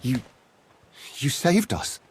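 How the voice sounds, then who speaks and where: Tired refugee man, husky, begging for help, dry close sound. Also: mid-range voice, sing-song, slow, a little mellow, no room sound, exaggerated voice. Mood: pleading. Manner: earnest, pleading. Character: weary, pleading male refugee. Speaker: refugee leader. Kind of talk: video game cutscene acting.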